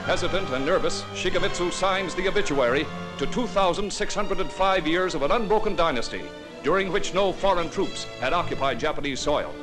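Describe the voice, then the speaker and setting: A man narrating a 1940s newsreel in a crisp, declamatory announcer style. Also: fairly high pitch, brisk. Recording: 1940s newsreel soundtrack with music. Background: orchestral music.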